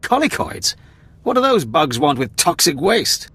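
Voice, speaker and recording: An Imperial major in a video game. He is affable and decisive, with a fairly high voice that moves freely up and down as he muses. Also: unhurried, breathy edge, heavily acted. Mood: inquisitive. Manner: animated, musing. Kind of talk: video game cutscene acting.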